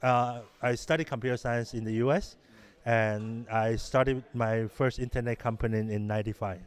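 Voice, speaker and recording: Halting middle-aged man from Taiwan. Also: medium pitch, fairly even intonation, clear, resonant tone. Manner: halting, many restarts and fillers. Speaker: interviewed innovation lab director. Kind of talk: conversational interview answers.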